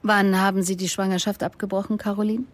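A woman's voice: mid-range voice, little pitch movement, fast talker, lightly acted. An actress in a German radio drama playing a probing female police inspector who also narrates, composed and direct. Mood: direct, questioning. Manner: direct, controlled questioning.

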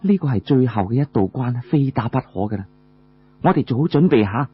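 Man voicing a bold young warrior woman, lively pitch. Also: brisk, slightly rough, dull audio. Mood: commanding.

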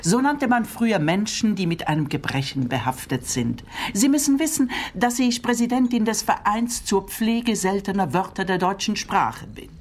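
A woman's voice: mid-range voice, very animated, normal speed, hardly any pauses. An actress playing a prim, eccentric female neighbour in a comic radio play, with a fussy, affected, genteel manner. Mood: affected, delighted. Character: prim, eccentric female neighbour who loves rare words. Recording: noticeable background noise.

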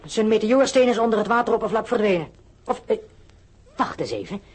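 A woman playing an excitable, good-hearted boy reporter in a Dutch radio play, stammering when flustered. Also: fairly high pitch, brisk, slightly rough. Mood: eager.

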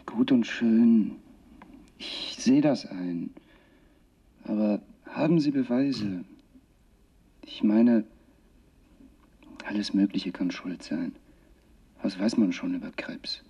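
Weary doubtful guy, radio play, very slow, husky n dark. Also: on the low side, exaggerated voice. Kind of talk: radio drama acting.

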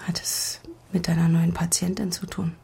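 An actress in a German radio crime drama playing a doctor's second wife, speaking in a breathy, creaky half-whisper, intimate and gently challenging. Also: female voice, on the low side, little pitch movement, moderate pace, a little hiss or hum. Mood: hushed, concerned. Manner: hushed, intimate.

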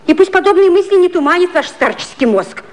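A woman acting an impudent, sharp-tongued secretary with a mocking, brisk manner in a 1950s satirical radio play. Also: very high voice, lively intonation. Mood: scornful.

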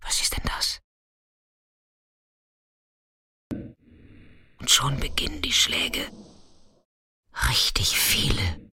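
A streetwise woman of the night in an intimate radio drama. Her voice is bright and forward, recorded very dry and close. She talks colloquially and frankly, caring and hard to shock. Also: a bass voice, lively intonation, takes it slowly, husky, no echo, in a whisper, heavily acted. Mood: hushed, shaken. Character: warm, chatty, streetwise female sex worker, caring and unshockable.

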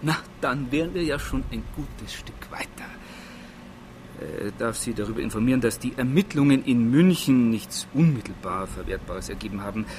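An actor playing an officious police chief who leads a murder investigation in formal, pedantic, bureaucratic phrasing. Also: male voice, medium pitch, slight background noise, natural voice. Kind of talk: naturalistic radio drama acting.

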